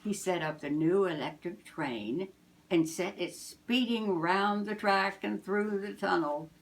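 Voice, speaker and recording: An older woman, a grandmother, reading a children's storybook aloud in a deliberate, expressive way. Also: on the low side, low-quality recording.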